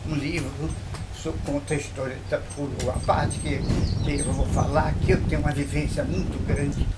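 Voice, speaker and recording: An older man from Bahia, telling local history in an interview at an old mansion, unhurried and full of tag questions. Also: a little high, fairly even intonation, very noisy. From Bahia, Brazil. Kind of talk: reminiscing interview.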